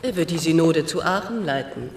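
An actress playing a cool, scheming medieval noblewoman in a radio drama, speaking in a measured, formal manner. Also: sits low, expressive, slight background noise. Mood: veiled threat. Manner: measured, formal, veiled menace. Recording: reverberant stone-hall sound.

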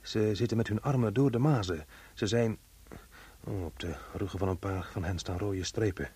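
An actor playing a chummy, unctuous radio reporter doing mock live commentary in a radio drama. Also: male voice, fairly low pitch, normal speed, a little mellow, slightly rough. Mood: hushed commentary. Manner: cheerfully unctuous, chatty. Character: smarmy, chummy male radio reporter at a grim spectacle.

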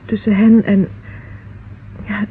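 Husky young sweetheart, old radio. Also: female voice, mid-range voice, flat delivery, slow. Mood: hesitant, confiding. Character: anxious, affectionate young sweetheart of a police inspector. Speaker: actress in a radio play. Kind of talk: Dutch radio drama acting. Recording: muffled, husky-sounding old radio recording.

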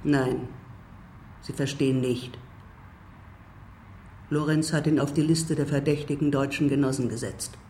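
An actor playing a bitter old civil war veteran who speaks in measured, emphatic statements in a radio drama. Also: male voice, mid-range voice, steady tone, noisy background, natural voice. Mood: curt, bitter.

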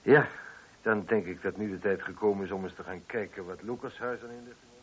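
Actor as sober police inspector, low voice, brisk and probing, radio play, dull old recording. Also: male voice, lightly acted. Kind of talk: radio drama acting.

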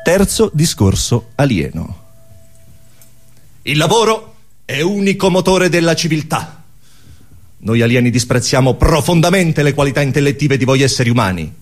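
An actor playing a pompous alien orator who coldly addresses inferior humans in formal, deliberate declamation, in an absurdist theatre speech. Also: male voice, on the high side, sing-song. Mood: haughty.

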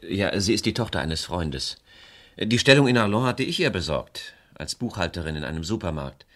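Very deep-voiced, worried small-town estate agent. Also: male voice, big pitch swings, moderate pace, fairly bright tone, heavily acted. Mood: sober, matter-of-fact. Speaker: radio drama actor. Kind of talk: German radio crime play dialogue.

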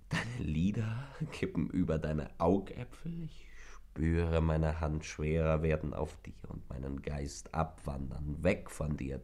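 An actor playing an ardent, tormented lover in a German radio play, speaking in a solemn, pleading, poetic way. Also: male voice, on the low side.

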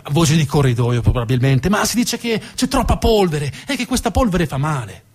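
A man delivering a dramatic narrative theatre monologue with emphatic, deliberate phrasing. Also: fairly high pitch, very animated, darkish tone. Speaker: stage storyteller, actor.

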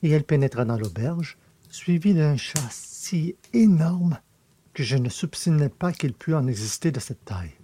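A man's voice: a little high, animated, normal speed, dark tone, husky. A man reading literary fiction aloud as a scripted audiobook narration.

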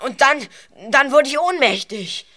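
A woman playing a frightened but quick-witted boy detective in a children's audio drama. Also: sits high, lively intonation, bright, forceful tone, exaggerated voice. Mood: shaken.

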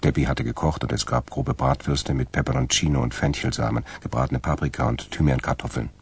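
A man narrating a radio crime drama as a detached, dry storyteller with a gravelly, husky voice. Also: very deep voice, sing-song, brisk, heavily acted. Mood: detached, calm.

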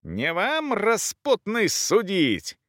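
A haughty young countess in an audiobook drama, voiced by a man, pitched well up from his own register. The delivery is indignant and emphatic, with big swings in tone and a dry, close sound. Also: wildly swooping pitch, drawn-out words, a bit bright, no room sound, full character voice. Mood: venomous fury. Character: young furious countess, haughty, shrill-tempered, scandal-making.

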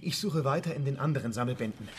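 An actor playing an eager, excitable helper searching old books in a radio drama. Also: male voice, mid-range voice, quick pace, close to an everyday voice. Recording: clear background ambience noise.

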